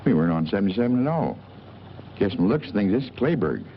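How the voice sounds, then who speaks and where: An actor playing a plain-spoken small-town local in a 1940s film noir. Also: male voice, lowish voice, very animated, quick pace. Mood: gruff small talk. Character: plain small-town local man giving a stranger a lift. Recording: muffled old film soundtrack with hiss.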